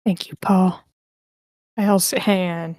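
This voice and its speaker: Woman, casual online table-read host, mellow tone, very dry close recording. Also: lowish voice, fairly even intonation, measured pace, no room sound. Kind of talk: casual livestream hosting. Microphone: close mic with a sock over it, online voice call.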